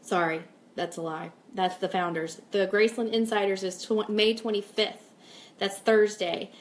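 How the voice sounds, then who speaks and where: A woman's voice: medium pitch, steady tone, moderate pace. A woman hosting a casual live stream, chatting informally and upbeat as she reads out comments and announcements. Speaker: host of a fan radio live stream.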